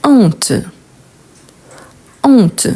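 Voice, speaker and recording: Brazilian-born woman teaching french, portuguese native, warm mellow voice, slow and patient, repeats the words. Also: a little high, animated, husky. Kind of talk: explaining grammar to learners.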